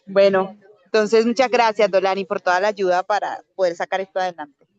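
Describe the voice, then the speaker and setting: A woman's voice: mid-range voice, steady tone, a little fast, darkish tone, smooth, no room sound, dull audio. A woman speaking in earnest as the session speaker of an online workshop, giving rallying closing remarks over a video call.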